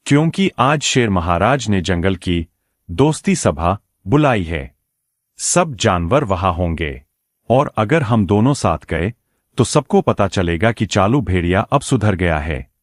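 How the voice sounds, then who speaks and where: A man's voice: low voice, sing-song, measured pace, no echo, studio quality. A man voicing a sly, scheming wolf in a cartoon moral story, oily and mock-friendly in a theatrical way. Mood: falsely friendly. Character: sly scheming male wolf, falsely friendly, muttering plots.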